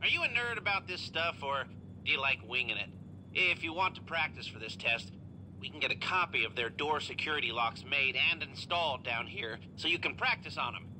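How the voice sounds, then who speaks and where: An actor playing a practical, dryly sarcastic heist planner in a video game. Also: male voice, on the high side, lively intonation, a little fast, sharp tone, a little hiss or hum.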